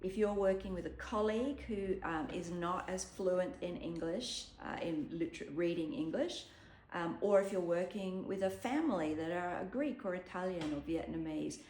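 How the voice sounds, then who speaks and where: Woman, app demo presenter, low-ish voice, casual and talkative, echoey home room. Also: normal speed, a little mellow, a little hiss or hum. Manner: chatty, explanatory, frequent ums. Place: home room with a dog around.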